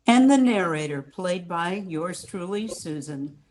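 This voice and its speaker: Casting: older female narrator, scripted radio drama, slightly low register. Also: expressive, unhurried, darkish tone, low-quality recording.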